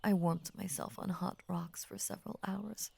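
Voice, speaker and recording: A woman voicing a tender, possessive lamia in an intimate ASMR roleplay, whispering slowly and soothingly with formal, coaxing words. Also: on the low side, fairly even intonation, darkish tone, no room sound, exaggerated voice. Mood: hurt, apologetic. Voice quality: breathy, whispery. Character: possessive, tender female lamia, half snake, doting and unnerving.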